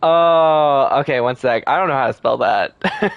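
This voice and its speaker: A man livestreaming a game, chatting casually with viewers and thinking aloud in a relaxed way. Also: medium pitch, a little slow, gravelly, dry recording, muffled recording. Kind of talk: casual live gameplay chat with viewers. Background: video game music.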